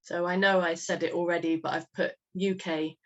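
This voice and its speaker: A woman's voice: fairly low pitch, little pitch movement, normal speed, muffled recording. A woman from England, hosting an online meeting in a chatty, friendly way, laughing often. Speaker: webinar host. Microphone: video call.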